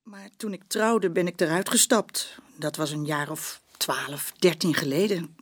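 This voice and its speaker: A woman playing a guarded, uneasy mother in a radio drama, slightly husky and hesitant. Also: sits low, unhurried. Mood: guarded, defensive. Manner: hesitant, defensive. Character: guarded, uneasy mother, a former sex worker.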